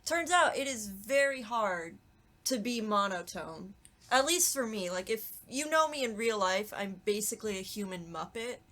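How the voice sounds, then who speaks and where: A young adult woman, a voice actress guest, chatting casually in an animated, giggly, self-deprecating way. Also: medium pitch, moderate pace. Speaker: voice actress guest on a podcast talkback. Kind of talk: casual chat.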